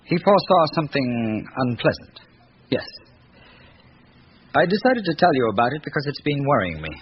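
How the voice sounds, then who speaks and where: A man's voice: medium pitch, sing-song, moderate pace, close to an everyday voice. An actor playing an earnest, well-bred young grandson of an aristocratic family, reflective and hesitant as he gives his account in a radio drama. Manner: reflective, articulate, hesitant in recalling. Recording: muffled, noisy old radio recording.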